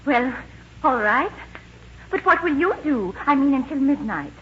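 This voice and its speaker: An actress playing a timid, polite young stowaway with an English accent in 1940s radio style. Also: female voice, sits high, lively intonation, measured pace. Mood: anxious, wistful. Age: young adult. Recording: dull old radio recording with music.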